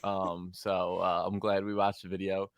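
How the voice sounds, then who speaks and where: A man's voice: low-pitched, moderate pace, clean tone. A man co-hosting a music review stream, chatting casually with lots of fillers. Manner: chatty, many fillers, excitable.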